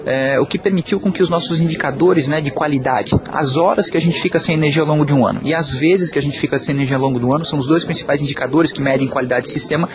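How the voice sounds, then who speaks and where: A man's voice: on the high side, quick pace, hardly any pauses, dull audio. A man speaking formally as a company president at an event, tagging sentences with "né". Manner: formal, official, frequent "né". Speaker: public company president guest. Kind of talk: interview answer at event.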